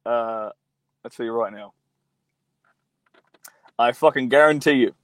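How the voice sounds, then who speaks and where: A man's voice: mid-range voice, measured pace, dry recording. Young male streamer, phone mic, very dry close sound, rambling and crude. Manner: blunt, profane, rambling with many fillers. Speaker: livestream host reading viewer comments. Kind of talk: casual profane live-stream chat. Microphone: mobile phone.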